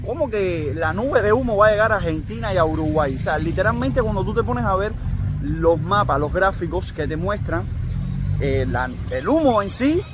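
Cuban man vlogging over street noise. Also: sits high. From Cuba. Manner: chatty, addresses viewers as family. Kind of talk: casual explanatory vlog.

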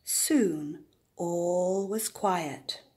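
An older woman reading a storybook aloud to young children, deliberate and expressive, with dramatic emphasis. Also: lowish voice, a little hiss or hum.

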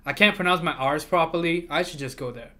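A man's voice: on the high side, brisk, sharp tone. A man who grew up speaking Chinese, hosting a livestream and chatting casually, often repeating himself and laughing. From moved to America from abroad. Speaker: livestream host. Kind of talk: casual chat with chat readers.

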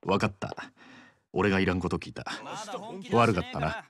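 A male actor voicing a cocky, teasing, loyal young yakuza in a Japanese video game, talking in rough slang. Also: low-pitched, moderate pace, fairly bright tone. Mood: casual, teasing. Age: young adult.

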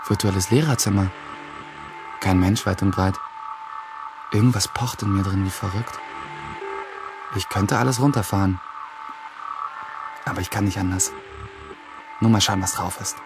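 A man's voice: lowish voice, noisy background, natural voice. An actor playing a cocky, computer-savvy teenage schoolboy who narrates his day in a radio drama.

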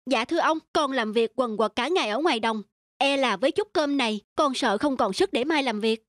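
A woman's voice: sits high, a little fast, no echo, clean studio sound, lightly acted. A woman voicing an overworked, wily young male farmhand in a cartoon folk tale, plaintive and mock-deferential. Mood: plaintive, puzzled.

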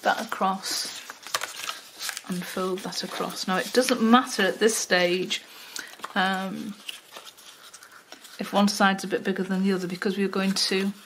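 An older woman with a Wirral accent talks through a craft project at her table, voice at an ordinary middle pitch. She chats loosely, filling pauses with ums and muttering to herself as she works. A faint hiss sits under the recording. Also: level tone. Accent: Wirral, mix of Welsh and Liverpool. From Wales. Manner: chatty, many ums, talks to herself while working. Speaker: craft tutorial host. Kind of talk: casual craft tutorial. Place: home craft table, indoors. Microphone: overhead camera, hands only.

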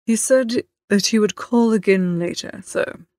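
A woman plays a composed male valet in a storybook reading. The manner is formal, deferential and unruffled, at a medium pitch for a female voice, in a dry recording. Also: unhurried, darkish tone, dry recording, natural voice. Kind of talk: audiobook character acting.

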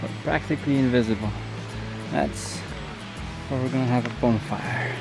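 A young man with a Polish accent, narrating a hiking vlog in a casual, relaxed way, laughing often, outdoors in a forest on a handheld camera. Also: mid-range voice, moderate pace, vocal fry, over loud music, poor audio. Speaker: hiker vlogger. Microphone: handheld action camera.

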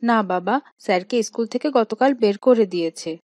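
A woman's voice: a little high, normal speed, a little mellow, dry recording, natural voice. Woman voicing an earnest little boy, dry recording. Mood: explaining. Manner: childlike, respectful, earnest. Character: polite, earnest little schoolboy talking with his father. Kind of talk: cartoon dialogue acting.

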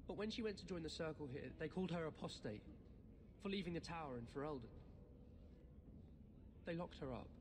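A man's voice: on the high side, lively intonation, moderate pace, pauses often, natural voice. An actor playing a defensive, protective orphaned refugee boy in a video game, guarded and curt. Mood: bitter. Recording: reverberant game audio with background noise.

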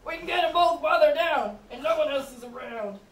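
A woman's voice: sits high, brisk, close to an everyday voice. A young-sounding woman voicing scheming, bumbling comic men in an amateur puppet show, with a hesitant, exaggerated delivery. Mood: plotting, impatient. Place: indoor room with puppet stage. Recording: echoey room, distant mic, faint noise.

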